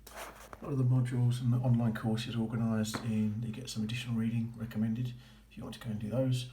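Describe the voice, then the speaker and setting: A man's voice: medium pitch, level tone, fast talker, dark tone. A man giving a casual, informal, approving walkthrough as a vlogger. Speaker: vlogger documenting a boating course. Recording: roomy echo with faint noise.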